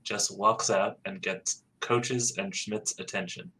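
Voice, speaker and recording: A man's voice: lowish voice, fairly even intonation, slow pace, bright, forceful tone, a little hiss or hum. A man on a podcast reading out a script's stage directions in a plain, matter-of-fact way. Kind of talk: script narration.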